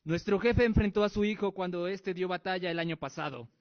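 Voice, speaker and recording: Man acting high-voiced ceremonious envoy. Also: a little fast, clean tone. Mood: formal, measured. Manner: formal, ceremonious, deferential. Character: deferential male envoy of a barbarian nation. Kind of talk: Spanish-language radio drama acting. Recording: dull lo-fi audio.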